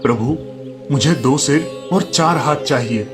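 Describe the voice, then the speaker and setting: A man's voice: mid-range voice, measured pace, music in the background, natural voice. Man playing a humble, earnest village weaver. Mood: respectful. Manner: earnest, deferential. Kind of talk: animated Hindi storybook tale.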